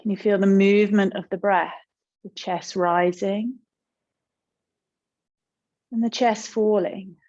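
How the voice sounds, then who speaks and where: A woman meditation teacher speaking in a gentle, calm, unhurried way with pauses as she guides a practice. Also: medium pitch, dull audio.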